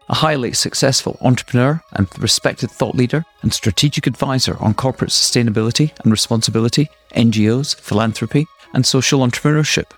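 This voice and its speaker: A man hosting a podcast, who reads his intro smoothly and chats with small restarts. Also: mid-range voice. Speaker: podcast host. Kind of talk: interview podcast with scripted intro. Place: home in Brooklyn, remote call.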